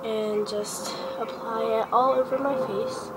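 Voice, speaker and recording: A teenage girl with a slightly high voice, stuffy and sniffly from a cold, chatting her way through a makeup routine. She speaks close to a small device mic in her bedroom, with a faint hiss of noise behind her. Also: fairly even intonation, slow. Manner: chatty, step-by-step, talking while applying makeup. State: sick and sniffly. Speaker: beauty vlogger. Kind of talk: casual makeup tutorial. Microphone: handheld device camera close up.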